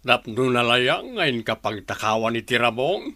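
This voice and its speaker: Sharp, accusing village father. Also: medium pitch, animated, normal speed, no echo. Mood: accusing, offended. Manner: gruff, accusing. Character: proud, grudging village father quick to take offence. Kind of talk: radio drama acting.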